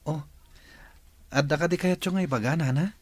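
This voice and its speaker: An actor playing an eager, polite young son in a radio drama. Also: male voice, fairly high pitch, fairly quick, dry recording, close to an everyday voice. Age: young adult.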